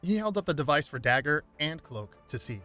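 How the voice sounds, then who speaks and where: A man's voice: fairly high pitch, big pitch swings, a little fast, bright, forceful tone, soft background music. A man reading a superhero story aloud as scripted dramatic narration.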